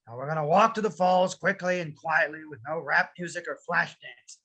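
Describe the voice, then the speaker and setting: A grumpy old widower from an animated film, played by a performer in a table read, speaks in a husky, forceful voice. He is curt and easily exasperated, always pushing others along. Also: mid-range voice, moderate pace, lo-fi sound. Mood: irritated.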